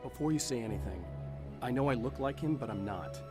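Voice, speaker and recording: An actor playing a calm, wry, guarded hero in a video game, understated and dry. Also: male voice, mid-range voice, a little fast, over music, close to an everyday voice. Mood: calm, focused.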